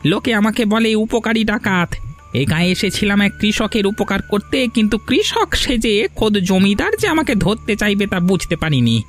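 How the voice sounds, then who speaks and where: A man's voice: high-pitched, very animated, fairly quick. Guy doing a hunted kindly bandit in a village cartoon, dramatic and urgent, faint music under it. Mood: hurried, confiding. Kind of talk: moral-story cartoon voice acting. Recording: music behind the voice.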